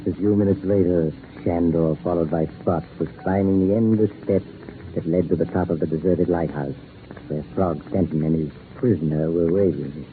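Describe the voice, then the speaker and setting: Very low male voice, actor as eerie doctor storyteller, sinister, dramatic, old radio horror, tinny noisy recording. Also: moderate pace, lightly acted. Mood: ominous. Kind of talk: 1940s radio horror narration. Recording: noisy narrow-band 1940s radio recording.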